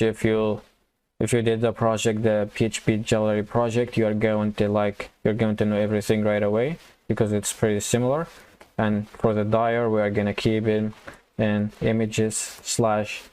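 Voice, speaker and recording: Male tutorial instructor, informal and halting, with a lowish, very even delivery for step-by-step screen walkthroughs. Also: clear, resonant tone. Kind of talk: casual screen-recorded coding walkthrough, thinking aloud.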